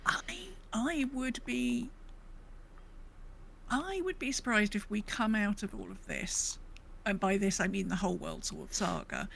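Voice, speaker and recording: A woman's voice: mid-range voice, unhurried, gravelly, slight background noise. A woman co-hosting a podcast, chatting casually and reading out listener comments. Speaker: podcast co-host.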